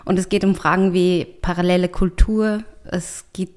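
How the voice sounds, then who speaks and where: A woman's voice: on the low side, fairly even intonation, moderate pace, a little mellow. A woman with an Austrian German accent, a writer and artist, answering interview questions in a thoughtful way and searching for her words.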